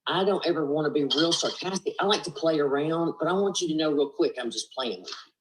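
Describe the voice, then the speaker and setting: A woman's voice: deep voice, brisk, rough recording. Woman, Southern accent, video call audio, earnest, rambling, lots of fillers. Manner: earnest, rambling, many fillers and "you know". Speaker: panelist on a live stream call. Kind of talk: casual group video call chat. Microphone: video call, laptop or phone mic.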